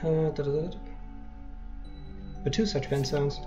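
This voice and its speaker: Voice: medium pitch, fairly even intonation, unhurried. Rambly gamer chatting through a playthrough, kinda dull mic, bit of game music underneath. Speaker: let's play host. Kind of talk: casual game commentary.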